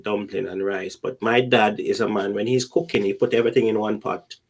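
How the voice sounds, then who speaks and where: Lowish-voiced Jamaican man telling stories. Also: steady tone, brisk, slightly rough. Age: middle-aged. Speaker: podcast guest. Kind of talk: casual anecdotal chat.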